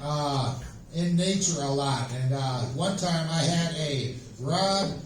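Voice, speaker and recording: A man doing an amateur stand-up set on stage, telling jokes in a halting, rambling way. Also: on the high side, noisy background. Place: small live comedy venue, stage. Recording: echoey room, distant microphone.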